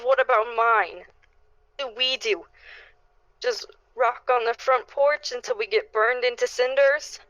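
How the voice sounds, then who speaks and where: A woman acting a desperate, high-voiced pleading neighbour. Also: fairly bright tone, no room sound, dull audio. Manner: halting, stammering pleas. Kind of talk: dramatic radio play acting.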